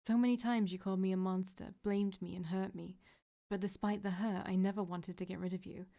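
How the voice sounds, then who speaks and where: A woman's voice: medium pitch, fairly even intonation, a little fast, creaky, mellow, close to an everyday voice. A woman voicing a desperate, broken young woman who pleads through tears. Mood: tearful pleading. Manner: pleading, tearful.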